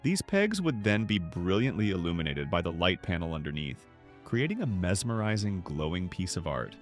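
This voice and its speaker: A man reading a scripted, nostalgic documentary narration in a measured, polished way. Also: low-pitched, big pitch swings. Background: background music.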